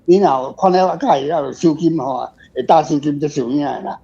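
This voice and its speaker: A man's voice: on the high side, brisk, gravelly, mellow. A man with a Taiwanese accent in casual conversation, talking informally. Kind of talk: casual chat. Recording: muffled lo-fi sound with music behind.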